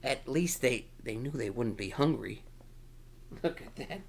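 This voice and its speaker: A man's voice: medium pitch, lively intonation, measured pace, gravelly. A man reading a children's picture book aloud in a playful, exclamatory manner with dramatic emphasis. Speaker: storytime reader.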